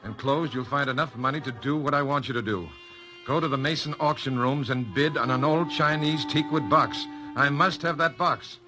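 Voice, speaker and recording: An actor playing a mysterious, insistent letter writer in a 1940s radio drama, deliberate and commanding. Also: male voice, medium pitch, close to an everyday voice, poor audio. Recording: old radio recording, music behind.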